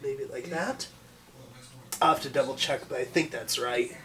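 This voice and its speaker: A man with a cold, sounding congested, talking through an unboxing at home in a halting, unscripted way with many pauses and fillers. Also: medium pitch, pushed and bright. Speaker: vacuum hobbyist doing an unboxing.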